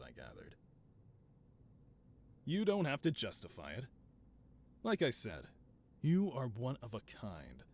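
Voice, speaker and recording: A man's voice: medium pitch, lightly acted. A male voice actor playing an affable supervillain scientist boss in a scripted audio roleplay, talking in a doting, theatrical way. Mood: fond, reassuring. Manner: doting, theatrical, softly reassuring then grandiose. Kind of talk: scripted audio roleplay, one-sided conversation.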